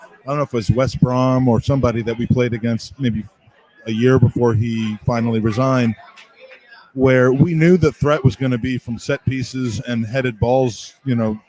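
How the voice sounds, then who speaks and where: A man's voice: medium pitch, steady tone, normal speed, darkish tone, rough recording. A man hosting a football podcast in a casual, chatty style. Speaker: podcast host. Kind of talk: casual sports chat.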